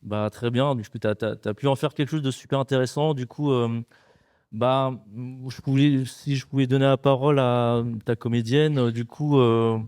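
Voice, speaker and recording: A man interviewing a director and actress at a festival, asking questions hesitantly with many filler pauses. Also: mid-range voice, fairly even intonation, a little mellow, clear, resonant tone, no echo, clean studio sound.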